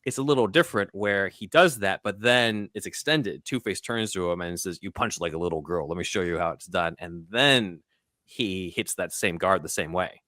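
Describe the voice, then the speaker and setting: A man with a low-pitched voice hosts a film discussion. He talks casually through scenes one after another, recorded dry with little room sound. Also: moderate pace, bright, forceful tone, dry recording. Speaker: podcast host.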